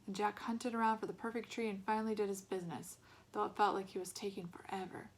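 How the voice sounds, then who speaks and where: A woman reading a storybook aloud as an expressive narrator. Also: medium pitch, fast talker.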